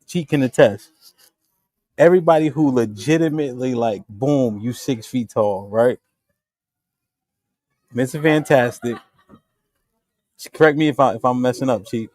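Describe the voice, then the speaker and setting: Sex: man. Role: host. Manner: cuts in, steers the talk. Pitch: middle. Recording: dry, close. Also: measured pace, long pauses, darkish tone, dry recording. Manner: steers the talk, cuts in to make his point. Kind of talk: casual group talk.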